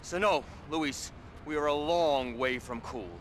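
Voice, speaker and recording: An actor playing a jaded, sarcastic middle-aged American club-owner criminal in a video game, with a dry, theatrical, drawn-out delivery. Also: male voice, fairly high pitch, a bit bright, a little hiss or hum. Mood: weary, irritated. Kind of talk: video game cutscene acting.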